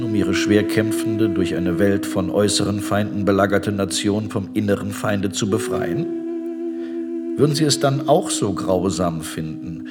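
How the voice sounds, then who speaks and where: A man's voice: low-pitched, lightly acted. An actor playing an imperious, cold Ottoman minister in a formal, condescending manner in a German radio play. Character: imperious, cold Ottoman war minister, smoothly justifying atrocities.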